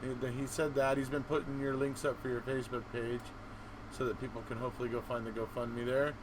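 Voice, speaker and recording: A man hosting a casual live-stream interview, chatting in a halting, rambling way. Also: mid-range voice. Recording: steady background noise.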